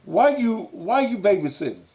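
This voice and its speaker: A man's voice: high voice, big pitch swings, normal speed, a little mellow, low-quality recording. A man hosting a call-in show, blunt and pressing, who repeats his questions until he gets answers. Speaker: talk show host. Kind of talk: call-in radio talk.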